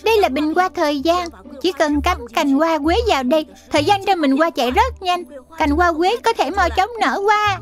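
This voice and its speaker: A woman voicing a small magical lion spirit, a bossy but helpful big brother in a dubbed cartoon, brisk and instructive. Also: extremely high pitch.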